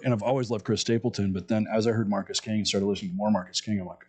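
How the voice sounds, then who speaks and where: A man with a deep, husky voice hosts a relaxed podcast chat. He talks quickly and keeps things easygoing. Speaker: podcast host. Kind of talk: casual podcast interview.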